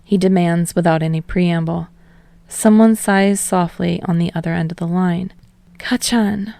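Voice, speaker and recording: A woman's voice: lowish voice, steady tone, moderate pace, gravelly, warm tone, dry recording. A woman reading a story aloud as a scripted audiobook narration. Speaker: fan fiction audiobook narrator.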